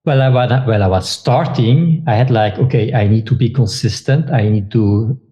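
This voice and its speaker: A man from Belgium with a Belgian Dutch accent, a podcast guest talking casually in halting sentences with many restarts. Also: medium pitch, mellow. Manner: halting, with frequent restarts and fillers. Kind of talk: casual interview talk.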